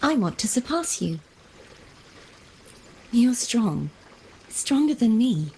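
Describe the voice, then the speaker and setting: An actress playing an earnest, resolute young maiden knight in a video game, speaking in formal, measured phrases. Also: female voice, on the high side, sing-song, dark tone, slight background noise, heavily acted.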